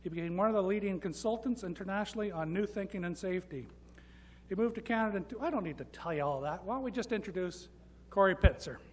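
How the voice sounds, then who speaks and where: A man's voice: high-pitched, quick pace, a little hiss or hum. Man reading a speaker introduction. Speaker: conference emcee. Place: conference hall.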